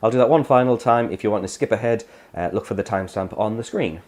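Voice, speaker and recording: A man, hoarse from a cold, explaining guitar chords step by step in a casual tutorial. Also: on the low side, quick pace. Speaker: guitar teacher.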